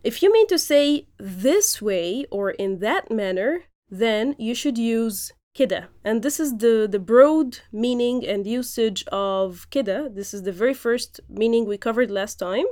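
A woman with a somewhat high voice hosts a language-learning podcast. She explains each point step by step, pausing often with fillers as she teaches. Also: animated, dark tone. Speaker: podcast host and Arabic teacher. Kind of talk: lecture-style podcast recap.

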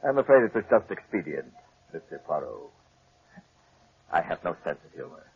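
An actor playing a cold, humorless undertaker villain with a dry, smooth, menacing manner in a 1940s radio drama. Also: male voice, rumbling low, sing-song, moderate pace, full character voice. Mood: dry, calm. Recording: muffled, noisy, crackly old radio recording.

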